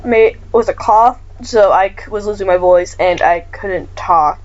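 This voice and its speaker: A woman's voice: mid-range voice, unhurried, lo-fi sound, slight background noise. A woman hosting a casual let's-play chat, apologetic and chatty, still getting over a cough. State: recovering from a cough. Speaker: gaming channel host.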